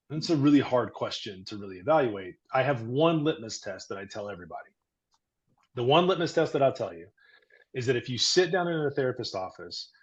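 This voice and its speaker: A man with a big, booming voice talks earnestly as a therapist on a podcast, explaining things through everyday comparisons. His pitch sits in a middle range, and the recording sounds a little dull and lo-fi. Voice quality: loud, booming voice. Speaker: therapist, guest. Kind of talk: casual podcast chat.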